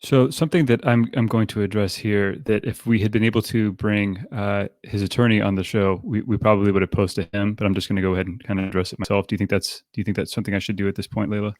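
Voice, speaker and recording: Male podcast host, lowish voice, measured, dry sound. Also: fairly even intonation, a little mellow, no echo. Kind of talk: live podcast talk.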